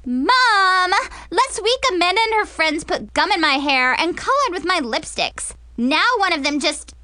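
A woman's voice: very high-pitched, animated, measured pace, sharp tone, dry recording, voice pushed up, heavily acted. A woman voicing a bratty, dramatic, self-absorbed teenage girl in a storybook, whiny and exasperated. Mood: whiny complaint.

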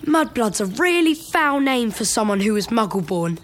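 A woman voicing a queasy, indignant schoolboy, hoarse and gasping, in an audiobook. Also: a little high, very animated, moderate pace, voice pushed up. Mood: explaining, bitter.

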